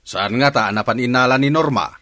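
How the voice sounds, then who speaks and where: A man's voice: mid-range voice, fast talker, bright, forceful tone, no echo, lightly acted. An actor playing a blunt, suspicious middle-aged father in a radio drama.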